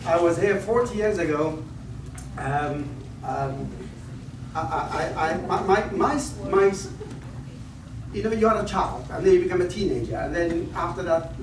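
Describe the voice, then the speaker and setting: A man in his fifties from northern Iraq, giving a conference talk with rambling personal stories, self-deprecating jokes and frequent restarts. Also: a little high. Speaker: invited conference speaker. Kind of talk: lecture opening with personal anecdotes. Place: lecture hall. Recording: echoey hall, distant mic, background noise.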